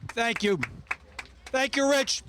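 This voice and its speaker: A man's voice: very high voice, slow pace, background noise. A man speaking as a state senator outdoors, delivering an enthusiastic, emphatic public speech of thanks. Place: outdoor public event in rain.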